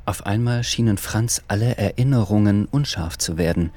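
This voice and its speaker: Low-voiced man narrating a radio drama. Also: moderate pace. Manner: calm literary storytelling. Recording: music underneath.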